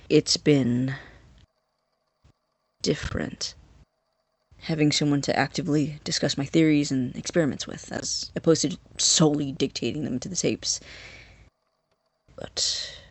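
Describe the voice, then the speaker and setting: An actor playing an earnest, obsessive young scientist in an audio drama, dictating an experiment log in formal, hesitant phrases with a slightly husky voice. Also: male voice, a little high, dry recording. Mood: hesitant, sincere. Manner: formal wording, hesitant, halting.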